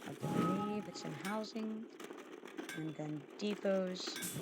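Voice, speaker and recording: Gravelly, stuffy-voiced game streamer. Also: mid-range voice. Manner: relaxed, hesitant, many fillers and restarts. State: stuffy and sniffling from allergies. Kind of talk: casual gameplay commentary. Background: loud background music.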